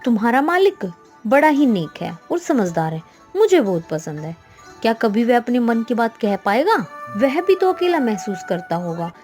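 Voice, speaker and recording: A woman playing a businessman's kind-hearted, chatty young daughter, earnest and expressive, in a storybook tale. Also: fairly high pitch, moderate pace, faint music behind. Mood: wistful.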